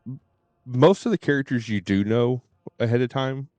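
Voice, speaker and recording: A man giving casual live game commentary, thinking aloud in a halting way and often repeating his words. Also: mid-range voice, darkish tone, dry recording. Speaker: game livestreamer. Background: faint game music.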